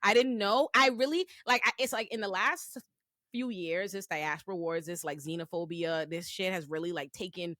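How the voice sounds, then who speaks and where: A woman's voice: lowish voice, moderate pace, fairly bright tone, no room sound, studio quality. A young woman with a Bahamian accent, hosting a casual panel discussion, talking emphatically and restarting her sentences. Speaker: host.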